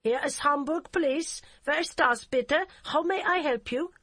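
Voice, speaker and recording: A woman's voice: high voice, fairly bright tone, no room sound, pitched above the performer's own voice. A woman voicing a curt German policewoman with a heavy German accent and broken, formal English. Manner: clipped, formal and halting.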